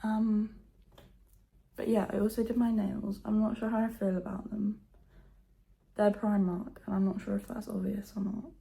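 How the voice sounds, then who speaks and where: A teenage girl vlogging at home, her voice croaky from just waking up, talking softly and half-whispering. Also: medium pitch, flat delivery, normal speed. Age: teens. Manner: soft, half-whispered, nervous chatter. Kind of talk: casual to-camera vlog. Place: at home indoors.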